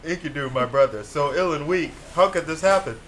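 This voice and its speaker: A man's voice: a little high, fairly quick, a bit bright, a little hiss or hum, lightly acted, rough recording. A teenage boy playing a proud young king in a school puppet show, reading plainly and earnestly. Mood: grieving.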